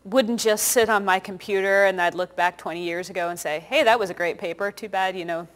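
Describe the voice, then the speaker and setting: A woman's voice: mid-range voice, steady tone, a little fast. A young American woman from northeast Indiana, a chatty interview guest explaining things casually. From northeast Indiana, United States. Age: young adult. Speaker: interview guest, park naturalist. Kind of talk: casual informative interview talk. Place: small TV studio. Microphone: lapel mic.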